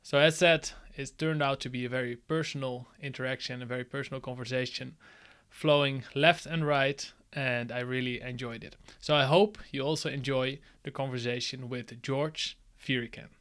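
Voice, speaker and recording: A man hosting an interview podcast. His voice sits at an ordinary middle pitch, and he talks in an upbeat, chatty way, laughing easily and trailing off softly at the ends of his questions. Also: fairly even intonation, normal speed, smooth. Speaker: podcast host. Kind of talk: casual interview with a scripted intro.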